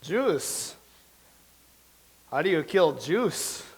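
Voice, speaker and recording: A man's voice: on the high side, very animated, drawn-out words, breathy edge, full character voice. A man in a staged reading playing a confused, hesitant man in a coffee shop. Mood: puzzled. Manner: puzzled and hesitant.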